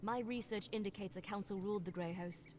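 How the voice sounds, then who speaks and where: An actress voicing a brisk, wry young vampire investigator in a fantasy video game, speaking with conversational confidence. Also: female voice, medium pitch, darkish tone, lightly acted. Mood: matter-of-fact. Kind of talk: video game character dialogue.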